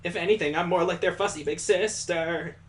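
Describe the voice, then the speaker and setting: A man's voice: sits high, fairly quick, lightly acted. A man voicing a flustered, sweet-toothed girl, a big sister to orphans, in a visual novel read-through.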